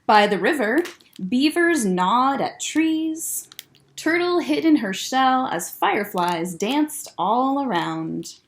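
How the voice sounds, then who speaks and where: Expressive woman reading a picture book. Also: high voice, slow pace. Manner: gentle, expressive, pointing out pictures. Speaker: children's librarian.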